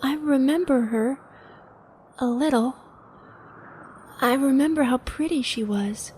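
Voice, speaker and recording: A woman's voice: high voice, slow pace, a little mellow, a little hiss or hum. A woman playing an anxious, innocent young girl devoted to her father in an audio drama, childlike and plaintive. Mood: timid, curious.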